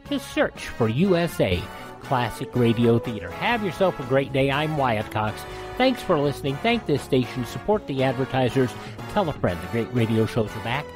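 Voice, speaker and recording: A man's voice: medium pitch, darkish tone, no echo. A man hosting a classic radio program, chatting casually and signing off with fillers. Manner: chatty, friendly sign-off with fillers. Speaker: radio host. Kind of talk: casual radio show outro.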